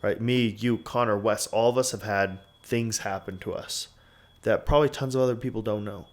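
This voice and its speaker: A man in his twenties with a low voice speaks frankly on a podcast. He rambles, leans on "like" and swears without pause. His talk is loose and unpolished. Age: 20s. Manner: rambling, frequent "like", swears freely. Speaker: podcast host. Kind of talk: candid casual podcast talk.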